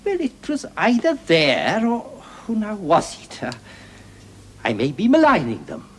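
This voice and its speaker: An actor playing a doddering, fretful old English clergyman who rambles and moralises haltingly in a 1970s television drama. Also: high-pitched, huge pitch swings, husky, full character voice. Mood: doddering, wistful. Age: older. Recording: noisy 1970s television soundtrack.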